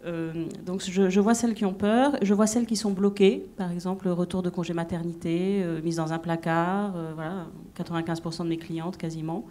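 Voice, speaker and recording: A woman's voice: lowish voice, fairly even intonation, normal speed, few pauses, mellow. A woman career coach speaking on a conference panel, thoughtful and hesitant with many fillers. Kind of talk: panel discussion answer.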